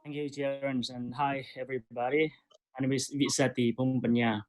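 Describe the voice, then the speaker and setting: A man's voice: medium pitch, level tone, moderate pace. A man introducing himself politely and formally as a panelist on an online call. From Laos. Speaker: panel speaker, doctoral student. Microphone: online video call.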